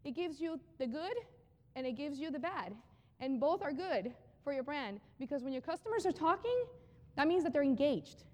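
A woman giving a live conference talk on brand storytelling, energetic and conversational, often repeating phrases for emphasis. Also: high-pitched, normal speed. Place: large hall with an audience. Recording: long hall reverb.

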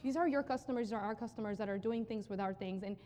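A woman giving a live conference talk on brand storytelling, energetic and conversational, often repeating phrases for emphasis. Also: mid-range voice, fast talker, slight background noise. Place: large hall with an audience. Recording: long hall reverb.

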